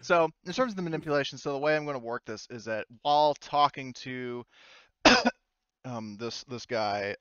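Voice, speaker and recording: A man narrating a tabletop game as game master, talking casually and haltingly with some swearing. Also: mid-range voice, fairly bright tone, clear, resonant tone, no room sound, muffled recording.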